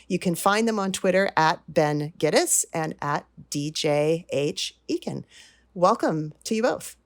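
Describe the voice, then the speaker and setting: A woman with a hoarse voice hosting a podcast and asking informal interview questions. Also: on the low side, lively intonation, a little slow, dry recording, studio quality. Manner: informal, restarts her questions. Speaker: podcast host.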